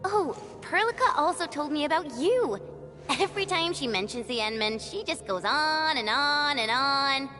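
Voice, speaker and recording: A woman's voice: sits high, lively intonation, moderate pace, pushed and bright, soft background music. A woman voicing a cheerful, boastful young swordswoman in a video game, with a breezy, playful manner. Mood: playful, curious.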